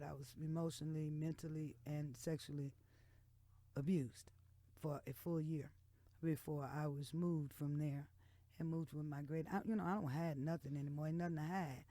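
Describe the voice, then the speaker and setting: A woman's voice: low-pitched, warm tone, dry recording. An American woman in her sixties talking candidly and emphatically as a guest in a radio interview. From Texas and Oklahoma, United States. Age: 60s. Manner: frank, outspoken, emphatic. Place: radio studio. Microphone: studio microphone.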